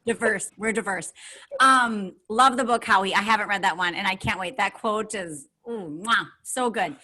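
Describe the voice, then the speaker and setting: Podcast co-host: a woman in her forties with a bright, forceful tone and a middle-pitched voice. She talks casually and chattily, with an upbeat, self-teasing manner. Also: normal speed. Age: 40s.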